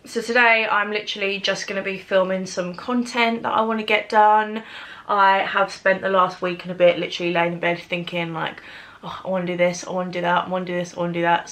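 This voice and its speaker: A woman's voice: medium pitch, fairly bright tone. A young British woman with a stuffy, bunged-up nose, rambling chattily as a beauty vlogger in a get-ready-with-me video. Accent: British English.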